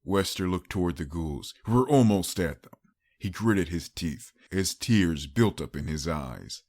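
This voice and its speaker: A man's voice: sits low, expressive, a little slow, a little mellow, dry recording. A man reading a scripted story as an audiobook narrator, in a dramatic storytelling style.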